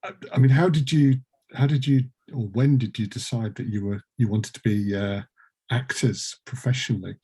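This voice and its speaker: A man's voice: medium pitch, moderate pace, mellow, breathy edge. A man interviewing guests casually, putting his questions in a tentative, stumbling way. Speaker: interviewer, podcast producer.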